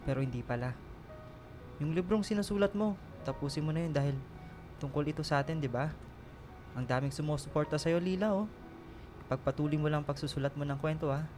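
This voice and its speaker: Tender, higher-voiced young lover saying farewell male actor. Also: close to an everyday voice. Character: tender dying boyfriend reading his farewell letter. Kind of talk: radio drama acting. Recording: music clearly behind the voice.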